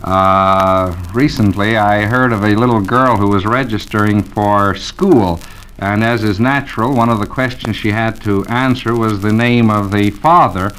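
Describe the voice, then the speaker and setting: A man's voice: fairly low pitch, a little slow, fairly bright tone, smooth, rough recording. A man moderating a 1940s radio panel discussion, putting polite, probing questions to the guests.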